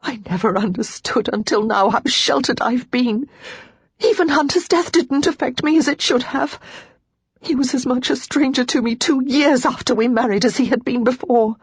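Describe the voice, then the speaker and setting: A woman narrator voicing Lara, a young widow who is ashamed, despairing and crying, in a husky voice that keeps dropping to a whisper. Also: medium pitch, moderate pace, a little mellow, no echo, pitched above the performer's own voice. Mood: despairing, tearful. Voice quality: husky, tearful, often whispering.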